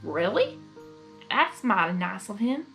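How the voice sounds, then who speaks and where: A woman's voice: mid-range voice, very animated, unhurried, vocal fry, a bit bright, soft background music, full character voice. A woman voicing a kindly, plain-spoken cowgirl pony with a folksy country drawl, in a storybook reading. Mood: friendly, wry.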